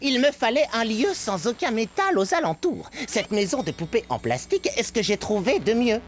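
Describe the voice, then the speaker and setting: A woman's voice: on the high side, big pitch swings, moderate pace, a little hiss or hum. A woman voicing an earnest, pedantic little microbe inventor in a French cartoon dub, explaining things precisely like a lecturer. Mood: matter-of-fact.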